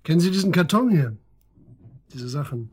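Husky, higher-pitched police detective. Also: male voice, expressive, moderate pace, a little mellow. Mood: insistent, matter-of-fact. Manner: matter-of-fact, probing, insistent. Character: persistent, matter-of-fact male police detective interrogating a suspect. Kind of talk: naturalistic German radio drama acting.

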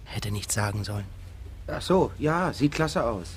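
A man's voice: medium pitch, animated, measured pace, a little hiss or hum, close to an everyday voice. An actor playing an irritable, evasive married man who mutters terse asides in a naturalistic radio drama. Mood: irritated.

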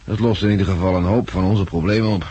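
An actor with a Netherlands Dutch accent playing a blind, plain-spoken war veteran, wry and stoical, in a 1960s radio drama. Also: male voice, sits low, steady tone, fast talker, warm tone, husky. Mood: wry, resigned. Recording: noisy, clicky 1960s radio recording.

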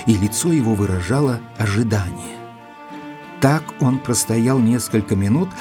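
An actor playing an observant, loyal gentleman who reports a mystery in a measured, formal, deliberate way, as in an audio drama. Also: mid-range voice, close to an everyday voice. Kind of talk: scripted audio drama narration, reading out a letter. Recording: studio recording with music underneath.

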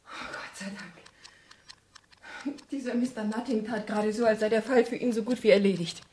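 An actress playing an anxious, indignant young client in a seventies radio crime drama. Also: female voice, fairly high pitch, fairly even intonation, normal speed, warm tone, a little hiss or hum, natural voice. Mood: worried. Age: young adult. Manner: agitated, earnest. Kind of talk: 1970s German radio crime drama acting.

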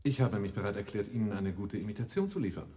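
An actor playing a sly, self-assured arms dealer in a German radio drama, with a suave, dryly ironic manner. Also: male voice, lowish voice, animated, fast talker, dark tone, breathy edge. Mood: smooth salesmanship.

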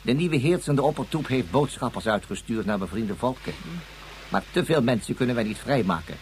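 A man's voice: medium pitch, animated, a little fast, close to an everyday voice. A man acting a formal, wordy tribesman with a florid manner in a Dutch science fiction radio drama. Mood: scornful, earnest. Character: formal, wordy tribesman with grumbling scorn for tyrant. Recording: slightly noisy radio play recording.

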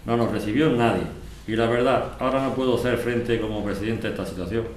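A man's voice: lowish voice, quick pace, natural voice. A man playing a weary, overwhelmed residents' president in an amateur radio soap, with an accent from Spain. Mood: weary, defeated. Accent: Spanish, from Spain. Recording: echoey room with faint noise.

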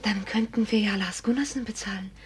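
Timid young country girl: extremely whispery, mid-pitched, halting, pleading, radio play. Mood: anxious, pleading. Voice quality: breathy, whispery. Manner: meek, halting, anxious. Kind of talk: German radio play acting.

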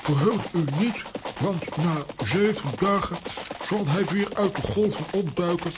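A man acting an excitable, bossy adventurer with an exclamatory delivery in a children's audio drama. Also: sits high, measured pace. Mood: declaiming. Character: excitable, bossy, blustering adult adventurer Lambiek. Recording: clearly noisy background ambience.

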